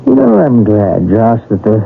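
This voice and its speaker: A man playing a calm, thoughtful, probing doctor in a 1970s radio drama. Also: lowish voice, normal speed, gravelly, exaggerated voice. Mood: sociable, reflective. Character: Carlos, calm inquisitive male doctor open to the occult. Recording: tinny, noisy 1970s radio recording.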